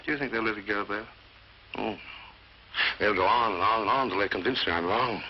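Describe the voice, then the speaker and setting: An actor playing a sardonic, weary, bitter brother in a scornful, theatrical 1940s British film style. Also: male voice, lowish voice, a little fast, husky. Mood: anxious, pleading. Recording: muffled 1940s film soundtrack.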